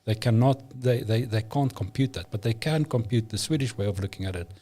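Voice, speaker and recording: Mellow-voiced man. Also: medium pitch, fairly quick. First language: Afrikaans. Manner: emphatic, opinionated, frequent restarts. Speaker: podcast guest. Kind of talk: casual conversation.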